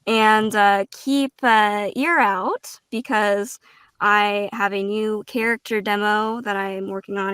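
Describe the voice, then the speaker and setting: A woman talks casually as a guest on a roundtable. Her pitch sits in the middle and the sound is dry. She comes across as hesitant and modest, letting phrases trail. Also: fairly bright tone, clean tone, no room sound. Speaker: voice actor, panel guest.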